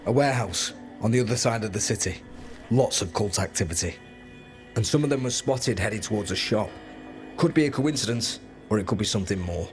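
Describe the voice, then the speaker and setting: A man's voice: fairly low pitch, animated, a little fast, lightly acted. A man playing a wary, skeptical rebel informant in a fantasy video game, guarded and matter-of-fact. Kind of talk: dramatic video game acting. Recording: faint music behind.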